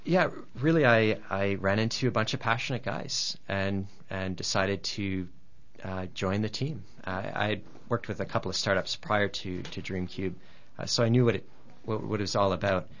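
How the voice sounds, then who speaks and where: A man's voice: on the low side, normal speed, fairly bright tone. A man, a guest on a radio interview, talking hesitantly with many restarts and fillers. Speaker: guest, startup founder. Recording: dull lo-fi radio recording.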